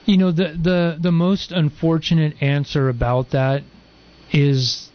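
A man's voice: a little high, level tone, unhurried, dull audio. A man guesting on a radio talk show, chatting casually and rambling with lots of fillers and restarts. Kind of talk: casual interview chat.

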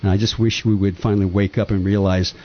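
An older man who was born in Japan, talking earnestly and at length with rambling, impassioned opinions on a radio-style talk show. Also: fast. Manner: earnest, rambling, lively pitch swings. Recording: dull lo-fi sound.

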